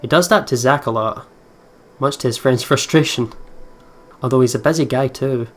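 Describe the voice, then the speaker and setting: A man hosting a gaming video, reading story text aloud and thinking out loud in a chatty way. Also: mid-range voice, moderate pace.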